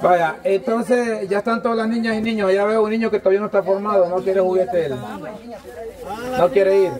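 A Salvadoran man with a slightly high voice announces informally to a crowd outdoors. He gives colloquial instructions and repeats himself as he organizes things, with plenty of people audible around him. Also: fairly even intonation, brisk. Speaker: host handing out toys. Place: outdoors on a village dirt road. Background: crowd of children and adults.

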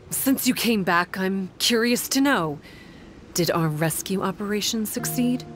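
A woman voicing a solitary, guarded young woman in a video game, speaking in a solemn, deliberate, dramatic way. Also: medium pitch, animated, a little hiss or hum. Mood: cold, resolute. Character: Tsumi, solitary guarded young woman raised among sea creatures.

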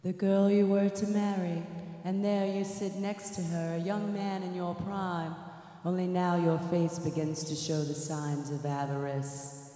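A young woman playing an unearthly, commanding spirit in a radio play, speaking in a measured, solemn way. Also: fairly low pitch, level tone, mellow, close to an everyday voice. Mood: grave, insistent. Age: young adult. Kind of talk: staged radio play reading. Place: on stage in a large theatre. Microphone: handheld-type mic on stand. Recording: echoey theatre PA, long reverb.

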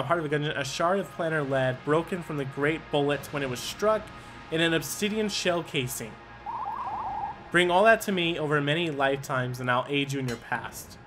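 A young man giving casual, excitable commentary on a game he is playing, swearing now and then and reading the game's dialogue aloud. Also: a little high. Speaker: gaming video creator. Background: game music.

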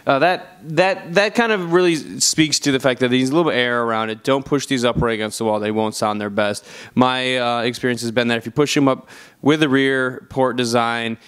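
A man giving a casual, conversational product review as a voice-over, with hesitant phrasing and pauses mid-sentence. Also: medium pitch, fairly bright tone, clean tone. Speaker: product reviewer, channel host.

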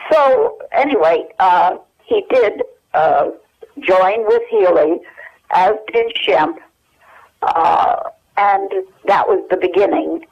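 An older woman reminiscing as an interview guest over the telephone, in a rambling, hesitant conversational way. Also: mid-range voice. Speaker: interview guest sharing family memories. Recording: dull telephone line.

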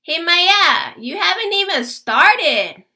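A woman's voice: very high-pitched, very animated, takes it slowly, pushed and bright, pitched above the performer's own voice, heavily acted. A woman voicing an eager, practical schoolboy in a read-aloud storybook. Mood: excited.

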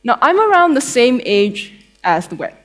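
A woman's voice: a little high, big pitch swings, moderate pace, fairly bright tone. A young woman with a Malaysian accent giving a tech conference talk on stage in a conversational, playful way with dry humour. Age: young adult. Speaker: conference speaker, developer advocate. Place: theatre stage before an audience.

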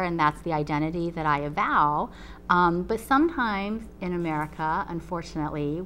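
Low-voiced woman professor with faint hum. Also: a bit bright. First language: Chinese. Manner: measured, explanatory, frequent ums. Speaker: college professor. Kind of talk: recorded talk to a webinar audience. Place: TV studio with a painted backdrop.

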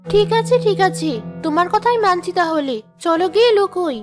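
A woman's voice: very high voice, lively intonation, fairly quick, faint music behind. A woman voicing a proud, impulsive young princess in a cartoon story, with a haughty, boastful manner. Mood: headstrong. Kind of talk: Bengali cartoon story dialogue.

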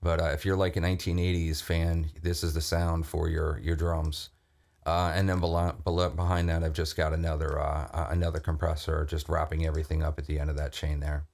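A man's voice: a bass voice, level tone, a little fast, vocal fry, darkish tone. A middle-aged man casually explaining his vocal effects chain in a home music studio, talking loosely with many fillers and restarts. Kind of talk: casual screen-recorded tutorial. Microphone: studio condenser mic with headphones.

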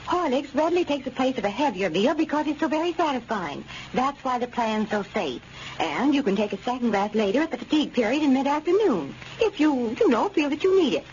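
A woman's voice: fairly high pitch, close to an everyday voice. A woman playing a chatty, earnest friend in an old radio advertisement, with an American accent. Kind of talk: 1930s radio advertisement acting. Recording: muffled, noisy old radio recording.

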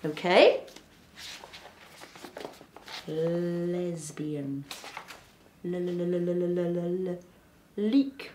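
An actress playing a blunt, overconfident female therapist who talks in a deadpan, matter-of-fact way. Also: on the low side, very slow, pauses often, echoey room, noisy background.

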